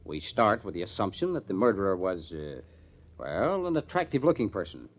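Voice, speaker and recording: A no-nonsense police detective captain in a vintage radio crime drama. He speaks in a fairly low voice with emphatic, lively swings. The old recording sounds dull and muffled. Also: a little fast, heavily acted. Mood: businesslike, emphatic. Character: gruff, matter-of-fact male police detective captain.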